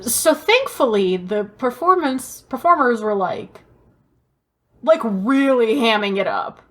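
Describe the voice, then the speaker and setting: Woman, high voice, casual podcast co-host, animated storyteller, sweary, emphatic. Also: slow. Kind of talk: casual pop-culture chat.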